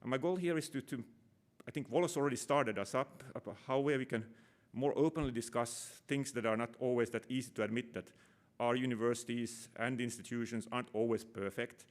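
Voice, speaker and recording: A man's voice: mid-range voice, a little fast. Rambling middle-aged man giving a conference talk. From Finland. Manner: casual, rambling, self-deprecating, many fillers and restarts. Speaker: conference speaker, operations manager. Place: conference room.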